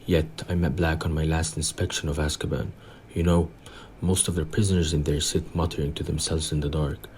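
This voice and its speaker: A man playing a grave, pompous older government minister in an audio play, speaking in a measured, formal way. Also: very deep voice, little pitch movement, darkish tone, a little hiss or hum. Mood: troubled.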